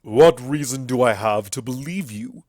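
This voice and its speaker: A bitter, sneering pony villain, acted out by a male narrator, speaks with theatrical venom and slow, drawn-out scorn. The delivery is forceful storybook drama with a dry, close sound. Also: mid-range voice, no echo, close to an everyday voice. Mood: accusing. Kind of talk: dramatic storybook character reading.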